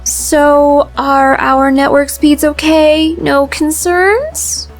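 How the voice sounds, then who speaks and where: A woman playing a worried, gentle middle-aged mother in an audio drama, anxious and doting. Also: high-pitched, steady tone, extremely slow, soft background music, exaggerated voice.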